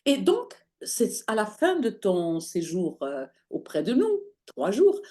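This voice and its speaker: A woman as an interview guest, telling her story conversationally, lively and often pausing with fillers as she searches for words. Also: mid-range voice. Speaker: interview guest, holistic practitioner.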